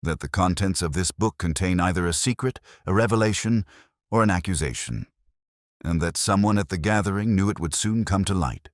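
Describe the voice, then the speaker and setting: A man voicing a shrewd, commanding Victorian detective with measured, formal speech in an audiobook story. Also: sits low, dry recording, studio quality, close to an everyday voice. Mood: shrewd, brisk. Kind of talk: audiobook character dialogue.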